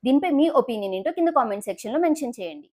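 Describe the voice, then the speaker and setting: A woman news presenter reads scripted stories in a high voice. She moves very quickly from sentence to sentence. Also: animated, darkish tone.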